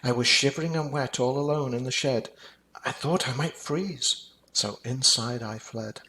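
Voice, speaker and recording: A grandpa-style male reader plays a tiny, timid young mouse from a children's storybook. The voice is meek and pleading, with a creaky grain and a bright edge, speaking politely in rhyme. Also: mid-range voice, a little slow. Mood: timid, hopeful. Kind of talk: rhyming children's storybook reading.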